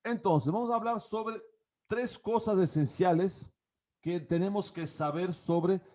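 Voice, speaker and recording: A man's voice: high voice, measured pace, dark tone. A man in his forties from Portugal with a Portuguese accent, giving an online karate class with many fillers and restarts. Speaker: karate coach and academy founder. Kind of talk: online class talk to camera.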